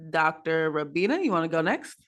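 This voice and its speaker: A woman's voice: low voice, big pitch swings, brisk. A woman hosting a panel over a video call, upbeat and starting her sentences with "now". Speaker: host.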